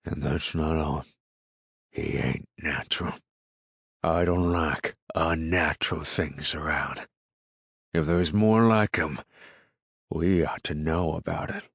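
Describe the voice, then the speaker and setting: A man voicing a gruff, ill-tempered rural farmer in an audiobook, grumbling in folksy, ungrammatical country speech. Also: a bass voice, takes it slowly, long pauses, fairly bright tone, no room sound, clean studio sound, heavily acted. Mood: suspicious. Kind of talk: audiobook character dialogue.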